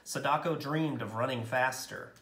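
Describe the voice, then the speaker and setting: A man narrating a picture book aloud as a storyteller, with expressive, dramatic and deliberate phrasing. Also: medium pitch, roomy sound.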